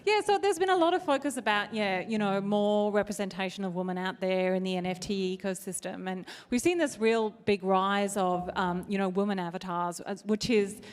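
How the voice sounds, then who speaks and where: A woman with a South African accent speaking on a panel discussion, lively and self-deprecating. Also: mid-range voice, moderate pace, few pauses, clean tone, in a large hall.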